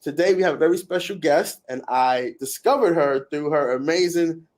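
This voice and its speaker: Guy with a kinda high voice doing an upbeat vlog intro, plugs a sponsor. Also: moderate pace, darkish tone. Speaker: video host interviewing a guest. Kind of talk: casual vlog intro and interview.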